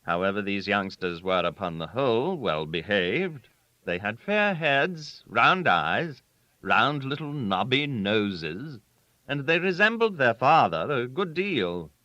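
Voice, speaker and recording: A man narrating a literary story aloud in a theatrical, deliberate way, with dramatic pauses. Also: mid-range voice, very animated, bright, forceful tone, no room sound, lo-fi sound. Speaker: audiobook reader.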